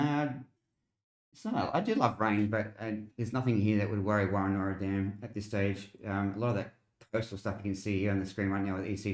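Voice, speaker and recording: Low-voiced Australian man in an echoey room. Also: a little mellow. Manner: informal, conversational, explanatory. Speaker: weather livestream host. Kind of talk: casual live commentary on weather models, replying to chat.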